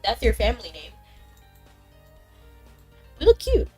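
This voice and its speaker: A woman's voice: on the high side, husky. A young woman streaming a game and chatting casually with viewers, bubbly and chatty, a little congested from being sick. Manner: bubbly, chatty, quick bursts of excitement. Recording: faint background game music.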